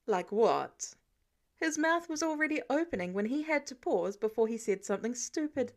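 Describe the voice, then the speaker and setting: A woman's voice: high voice, lively intonation, fairly quick. A woman reading scripted story narration as an audiobook narrator. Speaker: fan fiction audiobook narrator.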